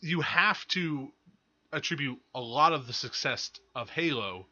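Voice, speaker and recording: A man co-hosting a podcast, talking casually about games and films with halting, stammered restarts. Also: medium pitch, animated, pushed and bright, muffled recording. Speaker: podcast co-host.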